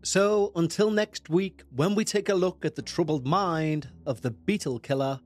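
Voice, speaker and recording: A man with a Liverpool accent hosting a podcast, reading true-crime narration in a measured, dramatic way. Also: on the high side, faint music behind. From England. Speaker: podcast host.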